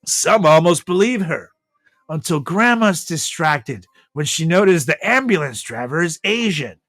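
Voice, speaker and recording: Slow, animated man narrating a comic story. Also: a little high, no room sound. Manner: dramatic pauses, drawn-out delivery. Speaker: podcast host.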